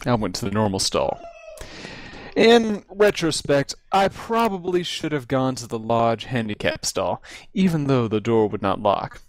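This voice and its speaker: Male reader for comic first-person tale: highly varied intonation, theatrical emphasis, close dry sound. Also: very animated, unhurried, no room sound. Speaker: amateur reader of a comic story. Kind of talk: dramatic reading of a humorous first-person story. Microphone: close computer mic on voice chat.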